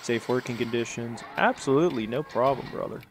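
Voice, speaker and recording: A man's voice: medium pitch, fairly quick, a little hiss or hum. A man hosting his own gaming channel, thinking aloud while he plays, rambling and tossing in sarcastic asides to himself. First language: English. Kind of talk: casual strategy-game commentary.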